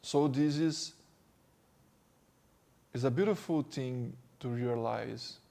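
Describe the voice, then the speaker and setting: A man from Brazil with a Brazilian Portuguese accent, speaking English as a second language and giving a calm spiritual talk as a teacher, slow and gentle with pauses. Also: mid-range voice, vocal fry. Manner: slow, gentle, with pauses and non-native phrasing. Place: sitting indoors.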